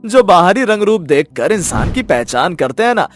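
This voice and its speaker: Cartoon: man doing a persuasive middle-aged dad, earnest, slightly high, soft music behind. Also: sing-song, normal speed. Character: warm-hearted middle-aged father arranging his son's marriage.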